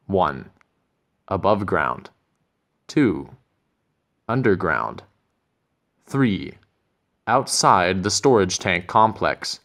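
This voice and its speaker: A man reading scripted narration for a corporate training film in a measured, methodical way. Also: deep voice, very animated, fairly bright tone.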